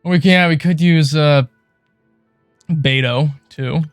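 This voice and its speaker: A man's voice: a little high, measured pace, clear, resonant tone, no echo. A man livestreaming a video game with casual, foul-mouthed, exasperated commentary. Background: video game music.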